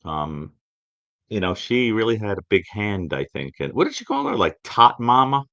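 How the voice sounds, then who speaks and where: A man speaking reflectively as a guest expert in a live-stream conversation, musing and emphatic, halting and repeating phrases. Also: lowish voice, huge pitch swings, creaky, fairly bright tone, no echo, dull audio.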